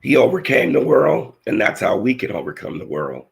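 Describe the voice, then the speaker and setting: A man with a hoarse, nearly lost voice, slowly reading Bible verses and teaching a scripture lesson with halting restarts. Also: medium pitch, sing-song. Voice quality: raspy, gravelly.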